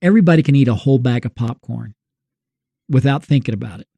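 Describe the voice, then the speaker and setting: Mellow-voiced man in conversational commentary. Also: medium pitch, lively intonation, quick pace, gravelly, no room sound. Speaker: co-host.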